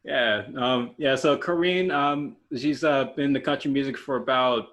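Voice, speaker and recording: A young man hosting a podcast interview, casual and halting, full of fillers and restarts. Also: medium pitch, a bit bright. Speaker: podcast host.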